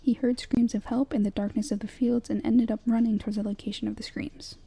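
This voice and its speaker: A young woman narrating a scripted horror breakdown in a soft, breathy, hushed whisper, telling it in a measured way. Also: a little high, little pitch movement.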